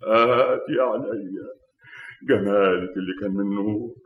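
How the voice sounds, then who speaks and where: A man's voice: medium pitch, sing-song, moderate pace, vocal fry, roomy sound, phone-quality sound. An actor playing a jealous, vengeful male demon with an Egyptian accent, declaiming theatrically in taunting rhymes in a radio drama. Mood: anguished grief. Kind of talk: classic radio drama acting.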